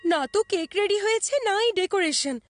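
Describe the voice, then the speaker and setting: A woman's voice: very high-pitched, fairly quick, music in the background. A woman playing a fretful, bossy cartoon mother who sounds flustered and scolding. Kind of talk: cartoon dub acting.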